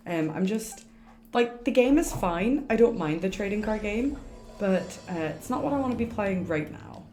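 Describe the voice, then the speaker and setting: Mellow, lowish-voiced woman streamer, roomy echo. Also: expressive, normal speed. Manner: rambling, informal, self-interrupting. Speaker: game streamer. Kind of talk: casual livestream chat while gaming. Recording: roomy echo, faint noise.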